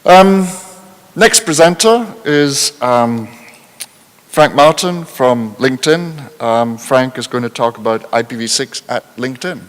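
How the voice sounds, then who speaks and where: A man with a mid-pitched voice hosts a conference session in a reverberant hall. He makes announcements informally and a little hesitantly, without a script. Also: lively intonation, a little slow. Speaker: session host introducing speakers.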